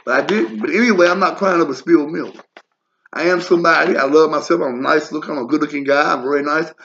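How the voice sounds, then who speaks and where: A man in his forties talks on in a wandering personal monologue, blunt and full of swearing. His voice sits a little high for a man. The recording is dull and lo-fi. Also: fast, gravelly, fairly bright tone, a little hiss or hum. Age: 40s. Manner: blunt, profane, wandering asides. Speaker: vlogger. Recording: lo-fi muffled audio.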